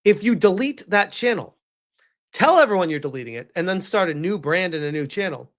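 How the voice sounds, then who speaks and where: A man's voice: high voice, sing-song, brisk, a bit bright. A man doing casual live reaction commentary in an incredulous, mocking and emphatic way.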